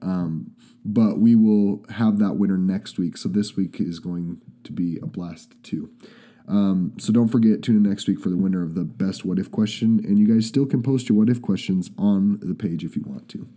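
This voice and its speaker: A man's voice: low voice, moderate pace, dark tone. A young man with a stuffy nose from a cold, hosting a casual podcast and chatting with many restarts. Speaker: podcast host. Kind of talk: casual chat.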